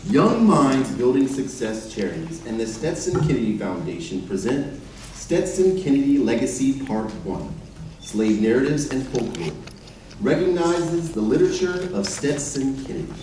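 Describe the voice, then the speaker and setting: A man giving a formal, measured scripted narration on stage, recorded from a distance in a very echoey chapel. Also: medium pitch, sing-song, a little mellow. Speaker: readers theater narrator and singer. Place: large echoey indoor chapel, live performance. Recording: very echoey hall, distant mic, faint noise.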